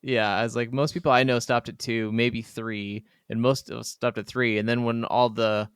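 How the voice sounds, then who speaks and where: A man's voice: mid-range voice, level tone, normal speed, clear, resonant tone, dry recording, clean studio sound. A man co-hosting a casual gaming podcast, talking conversationally and recounting things as he goes. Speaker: podcast co-host.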